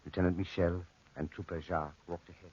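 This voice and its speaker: An actor as the solemn storyteller of a 1940s radio drama, reading scripted narration. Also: male voice, low voice, level tone, brisk, darkish tone, husky. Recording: dull, muffled 1940s radio recording.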